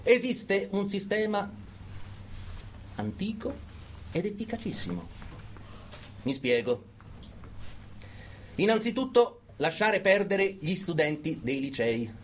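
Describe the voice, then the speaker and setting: An actor playing a fanatical political orator in a theatrical radio monologue, emphatic and full of dramatic pauses. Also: male voice, high-pitched, sing-song, fairly bright tone, slight background noise, exaggerated voice. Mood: measured, commanding. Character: fanatical male political orator urging brutal repression.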